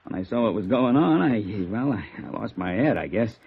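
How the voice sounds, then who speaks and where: An actor playing an easygoing, joshing police detective pal in a 1950s radio drama. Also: male voice, fairly low pitch, big pitch swings, fairly quick, vocal fry. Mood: relieved, joshing. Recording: muffled old radio recording, hissy noise.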